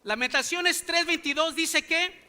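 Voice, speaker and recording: A man's voice: very high voice, measured pace, sharp tone. A male pastor preaching a sermon in a church, emphatic and full of rhetorical questions to the congregation, speaking in a language other than his native English. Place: church sanctuary.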